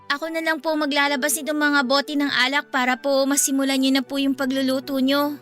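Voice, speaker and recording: A woman's voice: high voice, flat delivery, brisk, fairly bright tone, faint music behind. A woman playing a polite, earnest young eldest daughter of about ten in a radio drama, anxious to please. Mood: eager, concerned.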